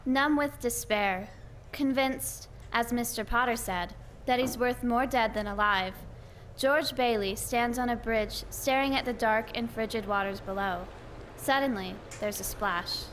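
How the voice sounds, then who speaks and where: A woman playing a dramatic narrator in a 1940s-style radio play, telling the story in a measured, theatrical way. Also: fairly high pitch, natural voice. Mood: dramatic storytelling. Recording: noisy live stage recording.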